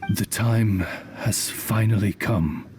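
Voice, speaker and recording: A man's voice: lowish voice, darkish tone, slight background noise. A man playing a dignified raven familiar in an anime-style game, a loyal butler who speaks in formal, florid phrases. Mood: solemn, courteous. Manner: formal, courtly, florid, measured. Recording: game music clearly behind the voice.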